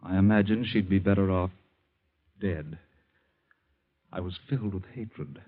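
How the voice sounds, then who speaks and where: An actor playing a tormented man in a 1940s radio drama, reading solemnly and haltingly. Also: low-pitched. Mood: tormented, grave. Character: grave man reading a tormented suicide note. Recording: tinny, narrow old radio sound.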